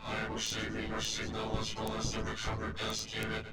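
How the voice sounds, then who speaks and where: A man voicing an impassive robot communications officer in an audio drama, formal, clipped and monotone. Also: on the low side, fairly quick, far from the mic, over music, natural voice.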